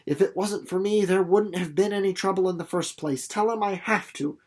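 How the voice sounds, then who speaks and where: A man's voice: high-pitched, normal speed, darkish tone. A man voicing an earnest, determined young girl from a storybook, speaking breathlessly and insistently.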